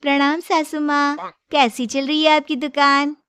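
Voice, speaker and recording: A woman's voice: high-pitched, level tone, a little slow, fairly bright tone, no echo, voice pushed up. A woman voicing a brash, stingy, scheming daughter-in-law who wheedles in a Hindi moral story. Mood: wheedling.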